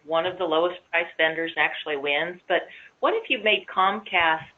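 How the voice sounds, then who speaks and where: A woman's voice: on the low side, normal speed. Woman, co-presenter, informal explanatory talk, tinny conference phone audio. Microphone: conference call line. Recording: muffled narrow-band phone line.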